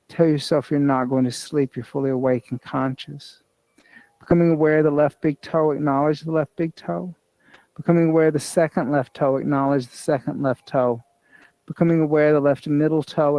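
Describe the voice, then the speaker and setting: A man with a slightly high voice guides a meditation as a yoga nidra teacher. His phrasing is measured and repetitive, like step-by-step instruction. The sound is very dry, with no room echo. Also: a little mellow.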